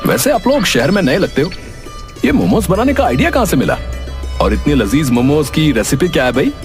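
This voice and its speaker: A man's voice: fairly high pitch, very animated, a little fast, music in the background. Man playing a confident, courteous city lawyer. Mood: friendly, curious. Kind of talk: cartoon moral-story acting.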